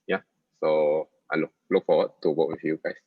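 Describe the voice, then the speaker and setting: A man's voice: rumbling low, flat delivery, normal speed, breathy edge, no echo. A man with a Malaysian accent casually introducing himself to colleagues in plain, simple talk. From Ipoh, Malaysia. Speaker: senior UI/UX designer. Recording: dull, muffled lo-fi audio.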